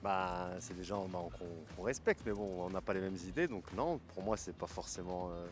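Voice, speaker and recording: A man's voice: low voice, normal speed, music in the background. A man passerby answering a street interview politely, with hesitant, hedging speech. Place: outdoors on a city street.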